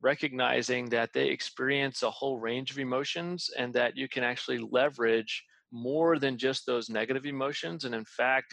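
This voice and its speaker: A man's voice: mid-range voice, gravelly, a bit bright, no room sound. A man, a professor, explaining ideas in an academic, explanatory way. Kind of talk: explaining in interview clip.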